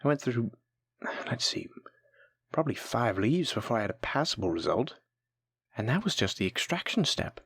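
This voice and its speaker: A voice actor playing a kind, patient alchemy teacher in a fantasy audio roleplay, gently encouraging and instructing a new apprentice. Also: medium pitch, very animated, moderate pace, breathy edge, no echo, heavily acted. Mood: sympathetic, reassuring. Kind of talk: soft-spoken scripted fantasy audio roleplay.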